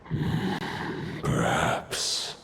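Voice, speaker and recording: An actor voicing an ancient, weary wolf god in a video game, speaking gravely and formally with a gravelly voice. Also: male voice, very slow, very noisy. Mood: weary, solemn. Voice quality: very deep, gravelly.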